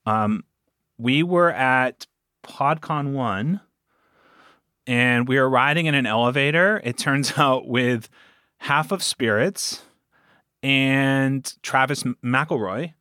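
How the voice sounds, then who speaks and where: A man chatting casually as an interview guest, telling stories in an animated way with quoted asides. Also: mid-range voice, takes it slowly, clean tone, dry recording, studio quality. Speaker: podcast producer and game master, interview guest. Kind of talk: casual conversational storytelling.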